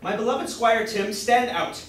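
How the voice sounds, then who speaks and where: A young man acting a grocer's apprentice who plays a grandiose, courtly knight-errant in a staged reading of a Jacobean comedy, declaiming in high-flown style. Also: unhurried. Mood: commanding. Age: young adult. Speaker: student actor. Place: indoor room, far from the mic. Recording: distant, very echoey room with faint noise.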